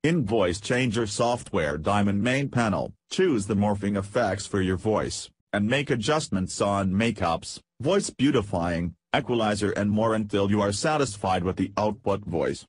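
A man reading scripted step-by-step software instructions in a measured way as a tutorial voice-over. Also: lowish voice, animated, breathy edge, no echo.

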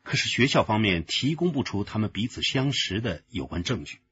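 抑扬顿挫、低音的男刑警. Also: male voice, lowish voice, big pitch swings, brisk, a bit bright, muffled recording. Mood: explaining. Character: sharp-minded male detective laying out case findings. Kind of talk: radio drama acting.

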